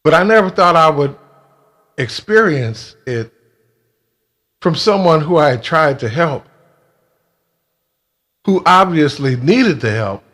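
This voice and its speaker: A man speaking in a casual monologue, with a halting, blunt and emphatic way of talking. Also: fairly high pitch, lively intonation, fairly bright tone. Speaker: video creator telling a personal grievance.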